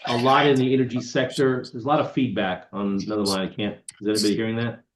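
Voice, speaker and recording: A man speaking informally as a panelist on an online call, halting and breaking into his own sentences. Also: mid-range voice, level tone, background noise. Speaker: panelist, international disputes lawyer. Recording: dull, echoey online call audio.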